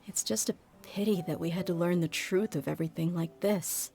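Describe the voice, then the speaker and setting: Actress as young female desert fighter, lowish voice, tough, frank, warm-hearted, game dialogue, music behind. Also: brisk, natural voice. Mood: wistful. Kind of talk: video game dialogue acting.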